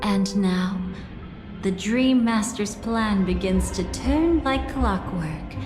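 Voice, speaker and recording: Slow, echoing, portentous schemer. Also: female voice, medium pitch, expressive. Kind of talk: video game character acting. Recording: echoing, with music behind.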